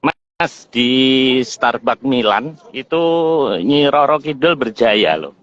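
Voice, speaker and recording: A man telling an anecdote in an easygoing way as a guest on a video call. His voice sits at an ordinary pitch for a man. The call audio is dull and lo-fi. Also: takes it slowly. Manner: relaxed, easygoing storytelling. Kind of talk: casual video call chat.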